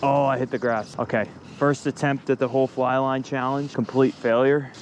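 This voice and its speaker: A man's voice: mid-range voice, little pitch movement, moderate pace. Casual man vlogging outdoors, faint background noise. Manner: informal, mild slang and swearing. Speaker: fishing video host. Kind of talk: casual vlog talk to viewers. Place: outdoors by a pond. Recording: noisy outdoor ambience.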